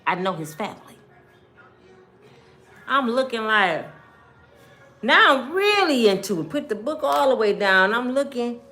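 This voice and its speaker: An American woman tells a personal story straight to her viewers. Her voice is bright and forceful, with pitch that moves widely. She is blunt and expressive, voicing both sides of conversations as she recounts them. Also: measured pace, long pauses. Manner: blunt, expressive, acts out dialogue. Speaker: storytime vlogger.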